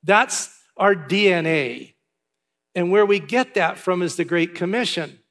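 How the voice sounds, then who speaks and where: A pastor from Canada giving church announcements and a sermon in a chatty way, with asides and filler words. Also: male voice, high voice, animated, a little slow, a bit bright. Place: large church hall. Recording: long hall reverb.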